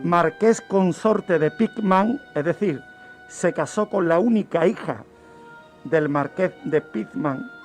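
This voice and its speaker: A man's voice: high voice, creaky, darkish tone. A man telling historical events on the radio in a didactic way, asking questions and answering them himself. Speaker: guest criminologist telling crime history. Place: radio studio. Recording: music bed behind the voice. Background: background music.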